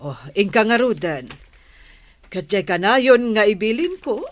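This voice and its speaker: A woman's voice: medium pitch, animated, measured pace, close to an everyday voice. An actress playing a caring, attentive middle-aged mother in a radio drama, speaking in a solicitous, motherly way.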